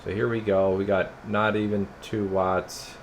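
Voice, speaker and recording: A man's voice: lowish voice, flat delivery, unhurried, slight background noise. A man giving a casual hands-on product demo in an informal, rambling way, talking to viewers as guys. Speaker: radio repairer and product reviewer.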